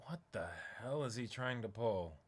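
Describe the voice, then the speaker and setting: A man's voice: medium pitch, lively intonation, normal speed, lightly acted. Man as suspicious young male student in a comic manga reading, dry and irritated. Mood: irritated, wary.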